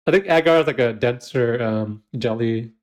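A man's voice: medium pitch, moderate pace. A man co-hosting a podcast, recapping a baking show in casual chat, giggling often and using lots of fillers. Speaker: podcast co-host.

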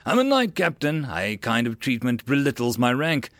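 A man's voice: medium pitch, sing-song, normal speed, fairly bright tone, no room sound, clean studio sound. A man voicing a proud knight captain turned recruit in an audiobook, speaking formally and declaiming.

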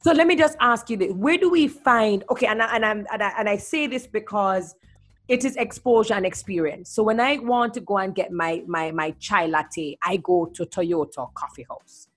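A woman host, chatting casually in a lively way and now and then slipping into playful patois. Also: medium pitch, moderate pace, faint music behind. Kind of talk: casual chat.

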